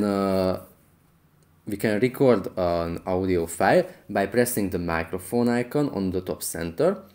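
A man's voice: on the low side, darkish tone. A man hosting an app tutorial, explaining things step by step. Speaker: tutorial host.

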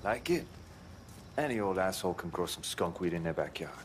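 Young american hippie vet, grumbly n sweary, slangy, video game scene with sound behind him. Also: male voice, low voice, expressive, fairly quick, a little hiss or hum. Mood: easygoing. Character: scruffy, profane young hippie veteran growing weed. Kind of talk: video game cutscene acting.

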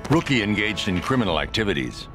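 A man playing a grim, terse vigilante detective in an animated game, with a deadpan manner. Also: on the low side, quick pace, music in the background. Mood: grim, dry. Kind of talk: animated game cutscene acting.

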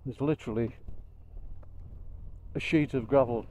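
A man with a Lancashire accent giving casual, unhurried storytelling commentary as he rides a motorbike, speaking into a helmet mic. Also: medium pitch, darkish tone. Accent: Lancashire English. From Lancashire, England. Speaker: motorcycle vlogger. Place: outdoors on a moving motorbike. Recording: vehicle noise while riding.

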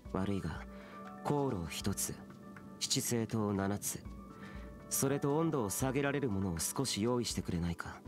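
A man plays a youthful immortal guardian from an anime game, aloof and stern. The voice is low, solemn and curt, with music behind it. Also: animated, normal speed, close to an everyday voice. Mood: solemn, composed. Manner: curt, solemn, archaic speech.

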